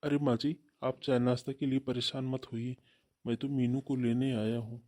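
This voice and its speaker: Man acting an earnest husband, medium pitch. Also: flat delivery, moderate pace, mellow, natural voice. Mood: polite. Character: earnest young husband, devoted to his sister. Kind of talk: Hindi cartoon family drama dialogue.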